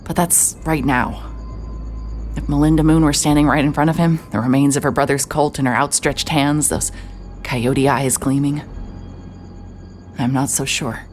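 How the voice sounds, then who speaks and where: An actress playing a hard-hearted private investigator who stammers and restarts when anxious, also narrating in first person. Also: female voice, low-pitched, fairly even intonation, moderate pace, a little mellow, soft background music, natural voice. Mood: reflective. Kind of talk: audio drama acting and first-person narration.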